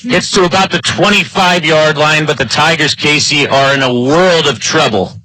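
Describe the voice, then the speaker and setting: A man's voice: on the high side, moderate pace, pushed and bright, dry recording, low-quality recording. A man with an American accent doing live play-by-play commentary of an American football game. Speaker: play-by-play sports announcer.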